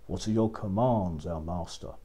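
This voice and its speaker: A man voicing an obedient magic dog in a children's story, asking in a hushed, servile way. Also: low voice, normal speed, breathy edge, voice pushed down. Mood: obedient, solemn.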